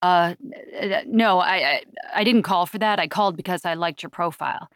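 A woman's voice: lowish voice, moderate pace, fairly bright tone, dry recording, close to an everyday voice. A shy, nervous man, acted out by a female audiobook narrator, stammers through his words. He is hesitant and awkward, heard in a dry, close recording. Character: shy, nervous male first-time caller.

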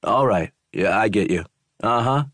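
A man's voice: lowish voice, animated, moderate pace, a bit bright, dry recording, pitched above the performer's own voice. An actor playing a bumbling young male hotel clerk on a phone call, stumbling and hesitant. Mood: flustered. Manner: fumbling, hesitant.

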